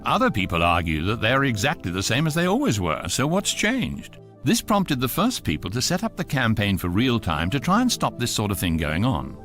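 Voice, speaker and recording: A man's voice: mid-range voice, huge pitch swings, a little fast, fairly bright tone. A man with a British accent as the urbane, wry voice of a galactic guidebook, narrating a scripted radio comedy. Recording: faint music under the voice.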